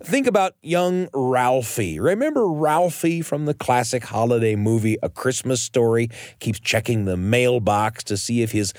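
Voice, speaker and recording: Male radio host, lively swooping intonation, mid-pitched, dry close sound, scripted storytelling, dramatic emphasis. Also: normal speed, dry recording.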